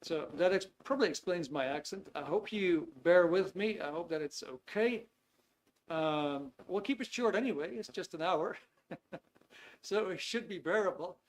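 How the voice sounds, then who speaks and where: A man's voice: high voice, normal speed. A man in his fifties with a Dutch accent, hosting a live online webinar in an easygoing, chatty way with many hesitations. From Netherlands. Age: 50s. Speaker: webinar host. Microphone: video call computer mic.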